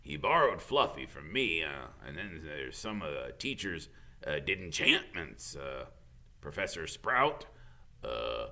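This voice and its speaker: A man acts out a big, gruff gamekeeper from a storybook. He is secretive and bumbling, mumbling through hesitations. The voice swings widely in pitch and has a bright, forceful edge. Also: big pitch swings, measured pace, full character voice. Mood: pondering, relenting. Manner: hesitant, mumbling with many uhs.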